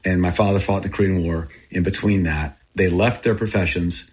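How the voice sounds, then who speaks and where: Husky low-voiced man in his sixties. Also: steady tone, normal speed. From born in Texas, grew up in Thailand. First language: Thai. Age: 60s. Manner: earnest, confessional, short plain phrases. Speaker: interviewee, missionary aid group founder. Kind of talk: personal storytelling in an interview.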